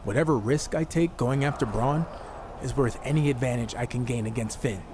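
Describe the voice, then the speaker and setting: Man playing an earnest wrestler, clearly noisy backdrop. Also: medium pitch, brisk, lightly acted. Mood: determined. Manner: earnest, questioning. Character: determined male wrestling champion, earnest and eager. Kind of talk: video game cutscene dialogue.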